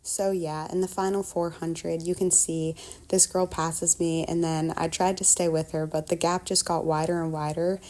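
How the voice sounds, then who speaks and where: A teenage girl with a soft, mellow, fairly low voice narrating a voice-over of her race. She recounts it in an easygoing way with a few fillers. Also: little pitch movement, moderate pace.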